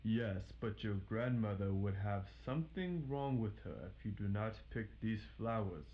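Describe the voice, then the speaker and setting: A man's voice: fairly low pitch, animated, unhurried. Casting: male reader as a cunning storybook wolf, mock-humble and coaxing with menace, slightly muffled recording. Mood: sly, threatening. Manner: deviously coaxing. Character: sly, devious male wolf feigning humility.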